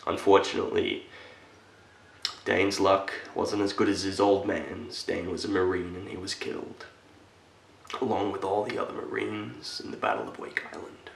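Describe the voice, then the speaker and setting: A man acting a solemn military veteran in a dramatic film monologue, telling an earnest story to a little boy with deliberate, halting phrasing. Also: on the low side, flat delivery, vocal fry, fairly bright tone, distant mic, a little hiss or hum. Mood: earnest storytelling. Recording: echoey room.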